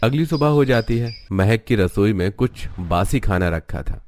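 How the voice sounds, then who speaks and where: A man with a fairly low voice narrates a cartoon story. His delivery is scripted narration, told from outside the characters as a storyteller. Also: animated, a little slow, darkish tone.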